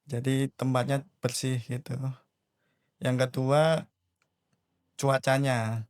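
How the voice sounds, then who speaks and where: Flat-voiced young Javanese-accented man. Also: medium pitch, takes it slowly, clear, resonant tone, dry recording, studio quality. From Java. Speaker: new civil servant guest. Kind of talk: casual interview answers.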